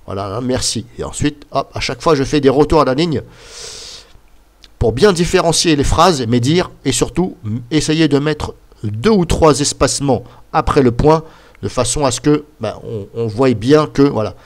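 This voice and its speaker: A man with a bit of a cold talks casually over his screen, explaining as he goes. He rambles, restarts and fills gaps with "bah" and "voilà" while pointing at things. His voice sits at an ordinary middle pitch. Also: animated, moderate pace. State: has a slight cold. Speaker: YouTuber.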